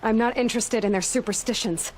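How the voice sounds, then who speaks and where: An actress playing a determined, guarded young huntress in a video game cutscene, questioning someone in a terse, commanding way. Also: female voice, fairly high pitch, fairly quick, darkish tone, slight background noise, close to an everyday voice. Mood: stern, guarded.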